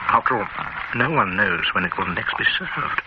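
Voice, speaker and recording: An actor playing a confident businessman with a brisk, clipped manner and hearty asides. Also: deep voice, big pitch swings. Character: confident English businessman, genial boss. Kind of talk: television drama acting. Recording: muffled old TV audio with hiss.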